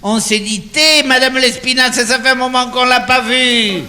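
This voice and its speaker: A man's voice: very high-pitched, moderate pace, pushed and bright, in a large hall, exaggerated voice. A man playing a bossy, exasperated woman salon owner, in a live radio comedy sketch. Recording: live radio with audience laughter.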